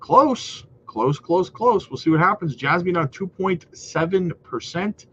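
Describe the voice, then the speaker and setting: A man's voice: sits high, animated, unhurried, pushed and bright. A man hosting a casual crypto market livestream, chatty and hyped, with a slightly nasal voice, often calling viewers guys. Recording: dull lo-fi audio with faint noise.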